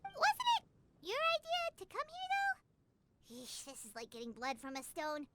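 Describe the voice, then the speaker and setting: A woman voicing a tiny, excitable, blunt fairy-like girl companion with a childish manner, in an anime-style video game. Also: high-pitched, a little slow, very noisy, exaggerated voice. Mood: exasperated. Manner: childish, refers to self in third person, exclaiming.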